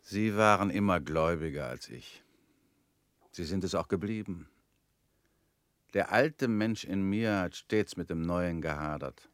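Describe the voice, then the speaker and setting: An actor playing a bitter former convict in a radio play, talking in a measured, brooding way. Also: male voice, low voice, lively intonation, no room sound. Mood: probing.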